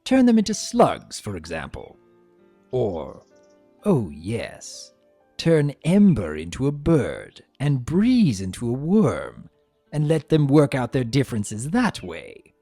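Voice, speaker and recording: A man voicing a vain, spiteful, sarcastic trickster creature trapped as a statue, telling its story with theatrical, sneering, drawn-out emphasis. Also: medium pitch, wildly swooping pitch, mellow, dry recording, full character voice. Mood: seething, gleefully spiteful. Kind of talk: dramatic first-person story reading. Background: music.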